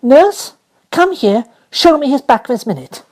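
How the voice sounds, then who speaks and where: A woman voicing a savage, bossy little girl who shouts and stamps as she scolds. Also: high-pitched, big pitch swings, a little slow, voice pushed up, exaggerated voice. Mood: furious, scolding.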